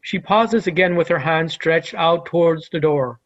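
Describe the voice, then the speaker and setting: A man's voice: fairly high pitch, unhurried, highs cut off. A man giving scripted narration, reading out the stage directions of a play.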